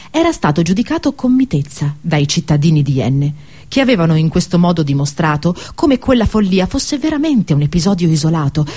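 A woman narrating a scripted literary travel tale for radio theatre, with measured, deliberate phrasing. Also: fairly low pitch, warm tone.

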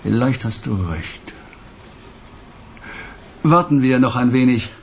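A weary crime boss muses and gives orders with an air of suspicion. His mid-pitched male voice sits over faint room noise in a radio drama scene. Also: takes it slowly, vocal fry. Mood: musing, weary.

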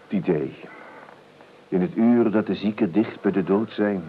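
An actor playing a grumbling, hungover, self-pitying gentleman in a Dutch radio play, halting and fussy, with lyrical flights. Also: fairly low pitch, natural voice. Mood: lyrical, peevish. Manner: halting, fussy, theatrically weary. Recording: narrow, hissy old radio recording.

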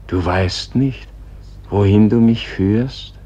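Weary dying holy man in a radio play, husky n halting, solemn, muffled old recording. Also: fairly low pitch, warm tone. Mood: sorrowful questioning. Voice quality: husky, breathy. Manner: slow, grave, halting. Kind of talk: solemn poetic German radio play acting.

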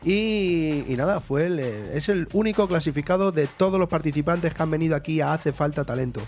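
A man's voice: fairly high pitch, a little fast, a little mellow, soft background music. A man hosting a comedy talent-show sketch on the radio, playing a playful presenter and judge.

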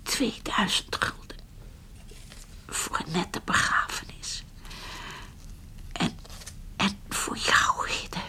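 An actress in a radio play playing an exhausted, dying old farm mother, speaking in halting fragments with a weak, breathy whisper. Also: bright, forceful tone, heavily acted. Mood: weary, fading. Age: older. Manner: halting, a few words at a time.

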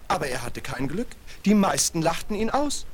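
Casting: innocent, courteous snowman for a fairy-tale audio drama; earnest, highly melodic delivery, slight record noise. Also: normal speed. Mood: courteous, storytelling. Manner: polite, wondering, expressive storytelling. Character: naive, earnest, courteous young male snowman. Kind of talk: 1970s German fairy-tale radio play. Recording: faint hiss of vinyl transfer.

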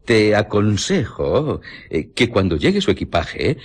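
A henpecked village husband in a radio play, with a Castilian accent. The voice is low and rough, and it lurches widely in pitch. He sounds puzzled and would-be bossy, but hesitant underneath. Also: sing-song, measured pace, full character voice. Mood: blustering, backing down. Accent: Spain. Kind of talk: radio drama acting.

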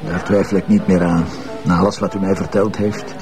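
An actor playing a weary middle-aged police commissioner with a Flemish accent, hesitant and earnest, in a radio drama. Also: male voice, low voice, flat delivery, brisk, over music.

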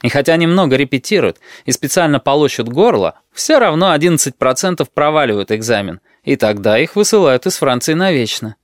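Casting: male first-person audiobook reader, mid-pitched, even and measured, very dry studio sound. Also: dry recording. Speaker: audiobook narrator.

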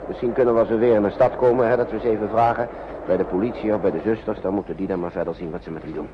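An actor playing a kindly, worried uncle in a wartime radio play, talking to children in a soothing, coaxing, hesitant way. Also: male voice, on the low side, fairly even intonation, rapid-fire, close to an everyday voice. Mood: gentle, soothing. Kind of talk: Dutch radio play acting. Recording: muffled, noisy old radio recording.

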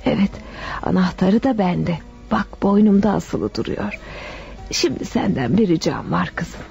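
An actress in a radio drama playing a frail, sick old widow who speaks affectionately and piously, with sighs. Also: female voice, fairly low pitch, sing-song, a little slow, vocal fry, mellow, full character voice. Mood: wistful. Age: older. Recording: dull lo-fi radio recording, music behind.

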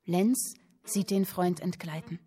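Captive woman reading a story out loud, lowish voice, earnest, expressive, bit of music under. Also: slow pace. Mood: earnest narration. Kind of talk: dramatic literary reading in radio drama.

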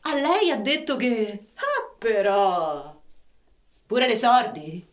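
Scheming blunt Roman, high voice, radio drama. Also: female voice, very animated, slow pace. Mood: wary, conspiratorial. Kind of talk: radio play acting.

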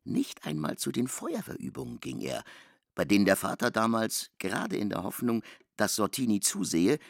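A man's voice: mid-range voice, big pitch swings, moderate pace, vocal fry, no echo, clean studio sound. A man performing as a storyteller in a radio play, relaying dialogue in literary prose. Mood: intent.

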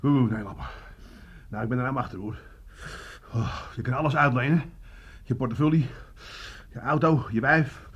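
An actor playing a grumpy, exasperated grown son in a comic radio play, full of sarcastic complaint. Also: male voice, medium pitch, normal speed, pauses often, husky, highs cut off, lightly acted. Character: grumbling, exasperated grown son in working-class Amsterdam family.

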